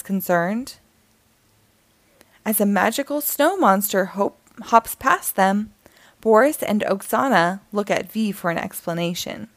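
A woman narrating a children's picture book aloud in an expressive, deliberate way. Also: mid-range voice, gravelly, no echo. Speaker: storyteller reading picture books aloud.

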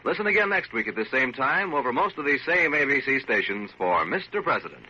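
A man's voice: medium pitch, very animated, brisk, sharp tone, dull audio. An American man announcing in an old-time radio promotional style, emphatic and polished. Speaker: network announcer. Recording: noisy, band-limited old radio recording.

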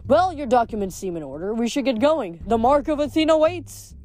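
A woman's voice: on the high side, lively intonation, a little fast, dark tone, voice pushed up, close to an everyday voice. Casting: a woman reader as an ancient, manly god of a river, polite and wry, formal phrasing, storybook style. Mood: grave, formal.

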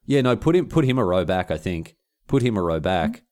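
A man's voice: low voice, animated, brisk, dark tone. An Australian man hosting a podcast, telling stories in casual comic chat and repeating phrases for emphasis. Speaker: podcast host.

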